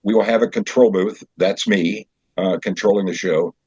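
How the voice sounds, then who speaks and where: Male interview guest, lower-pitched voice, show director, halting explanatory delivery, close dry sound, muffled lo-fi recording. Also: steady tone, a little mellow, husky, no echo. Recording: dull, lo-fi sound.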